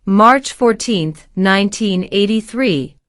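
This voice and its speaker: A woman's voice: medium pitch, expressive, a bit bright, no room sound. Polite woman from Syria, dry sound. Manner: polite, formal, measured replies. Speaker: citizenship applicant. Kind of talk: scripted interview answers.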